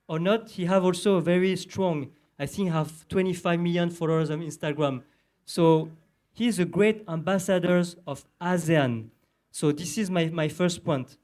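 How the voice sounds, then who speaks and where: French-accented man, high and halting. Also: darkish tone. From southern France. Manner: hesitant, many filler pauses. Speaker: panelist. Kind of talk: conference panel speech.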